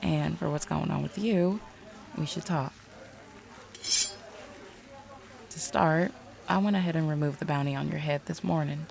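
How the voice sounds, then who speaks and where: A woman's voice: deep voice, moderate pace, gravelly, a little mellow. A woman playing a confident, shrewd mayor and seer in an audio roleplay, with a direct, knowing, folksy manner. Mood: frank, businesslike.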